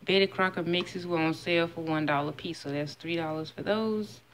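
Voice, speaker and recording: A woman's voice: fairly low pitch, steady tone, brisk, a little hiss or hum. A woman in her thirties chatting casually at home as she shows a grocery haul item by item, recorded on a phone. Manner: chatty, listing prices item by item. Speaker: vlogger. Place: home kitchen, indoors. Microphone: phone camera held close.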